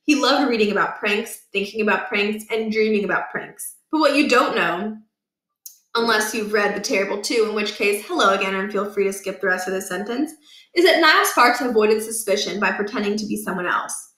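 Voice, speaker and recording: A woman reading a children's book aloud as expressive narration, with measured, emphatic phrasing. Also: medium pitch, reverberant, rough recording.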